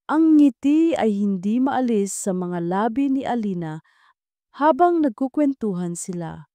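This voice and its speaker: A woman's voice: fairly high pitch, big pitch swings, unhurried, warm tone, no echo. A woman storyteller reading scripted romantic drama narration with a dramatic delivery.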